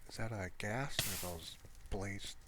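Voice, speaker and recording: A man giving casual, rambling gameplay commentary, muttering to himself in a low-key way. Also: slow pace. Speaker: gamer narrating his own gameplay. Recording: clear background noise, mouse clicks.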